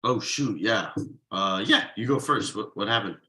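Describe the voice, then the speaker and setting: A man's voice: lowish voice, expressive, moderate pace, pushed and bright. A man playing an exasperated, wronged friend and young father in a comedy table read, stammering and incredulous in an emphatic, conversational way.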